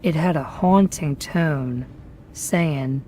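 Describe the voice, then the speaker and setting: Slow, somber woman narrating a horror story. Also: low-pitched, warm tone, slight background noise. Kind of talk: scripted first-person horror story narration.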